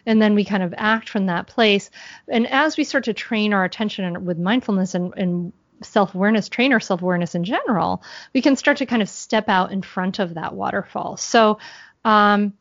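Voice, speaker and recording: Halting mom on a podcast. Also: female voice, medium pitch, no echo. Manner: halting, many restarts and fillers. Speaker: guest. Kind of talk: podcast interview, conversational. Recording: dull, dry sound.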